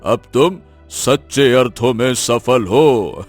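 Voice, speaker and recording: Man playing a slow, kindly old village teacher. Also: mid-range voice. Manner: slow, blessing, fatherly.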